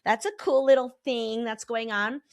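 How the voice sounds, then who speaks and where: A slightly hoarse woman in her forties, a therapist hosting her own podcast, talking in a candid, emphatic way with casual swearing. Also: a little high, steady tone, normal speed, dry recording, studio quality. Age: 40s. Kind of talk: personal podcast monologue. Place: Colorado.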